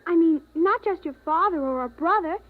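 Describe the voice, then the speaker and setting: A woman's voice: extremely high pitch, lively intonation, normal speed. A woman voicing an eager young girl in a 1950s radio drama. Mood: curious. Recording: tinny old radio transcription.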